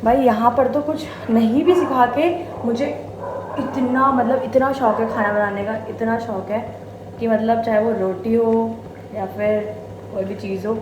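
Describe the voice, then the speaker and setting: A woman's voice: a little high, echoey room, noisy background. A young woman chatting casually in a home vlog, mixing English words into her Hindi while she does kitchen chores. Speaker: vlogger.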